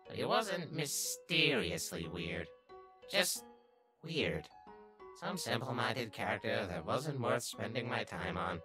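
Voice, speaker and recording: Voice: mid-range voice, very animated, slow pace, music in the background. A performer voicing a sly, obsessively curious little talking flower in a comic dub, brooding and easily exasperated. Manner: brooding, scheming, bursting into exasperated outbursts. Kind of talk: comic dub character narration and dialogue.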